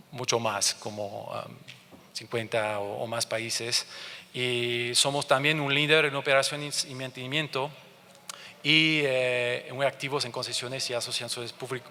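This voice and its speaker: A halting French Canadian man speaking in a reverberant conference hall. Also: medium pitch, sharp tone. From France and Canada. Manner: halting, searching for words, many restarts. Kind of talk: conference presentation.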